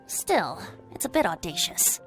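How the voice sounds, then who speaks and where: An actor voicing a playful, theatrical young bard with a flowery way of speaking, in a video game. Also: male voice, high-pitched, very animated, a little slow, fairly bright tone, faint music behind, full character voice.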